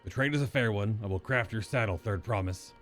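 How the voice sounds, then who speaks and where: A man reading a small, gruff male saddle maker creature from a game, sounding brisk and formal. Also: on the low side, fairly bright tone, soft background music, lightly acted.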